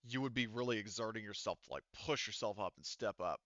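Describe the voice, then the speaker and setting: A man improvising narration as game master of a tabletop roleplaying game, haltingly searching for words as he describes scenes. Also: on the low side, a bit bright, dry recording, muffled recording.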